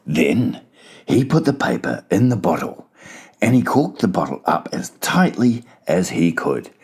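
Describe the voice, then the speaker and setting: Deep, gravelly male storybook narrator. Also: darkish tone. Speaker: audiobook narrator. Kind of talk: dramatized children's storybook narration.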